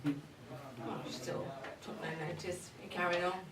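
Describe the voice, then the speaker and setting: A woman's voice: sits low, unhurried. Female performer as older, cheeky Christmas gossip; bawdy, confiding live comic monologue; echoey room, audible audience. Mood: gossipy, cheeky. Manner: wry, bawdy, confiding, chatty. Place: indoor live event at a pub. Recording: roomy, distant live recording with audience noise. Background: audience crowd.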